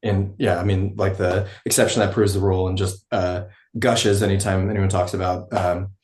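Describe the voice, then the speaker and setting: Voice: deep voice, flat delivery, normal speed, darkish tone. Echoey, hesitant speaker in casual chat. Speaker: acting class participant. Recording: roomy, echoing sound.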